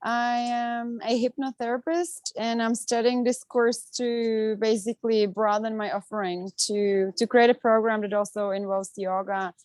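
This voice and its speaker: A woman from the Republic, introducing herself as a student on a video call in a relaxed way. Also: a little high, moderate pace, few pauses, no room sound. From Czech Republic.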